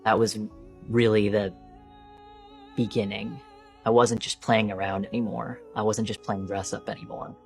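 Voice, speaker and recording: A woman's voice: very deep voice, level tone, moderate pace, long pauses. A woman with a mid-range voice tells her own story candidly and reflectively. Faint music runs underneath. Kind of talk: personal story interview.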